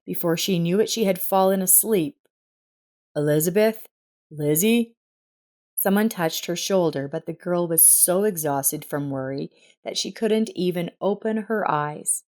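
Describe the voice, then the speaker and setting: A woman narrates a scripted family story. Her voice sits a little low for a woman, and she reads as a storyteller would. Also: moderate pace, few pauses, a little mellow.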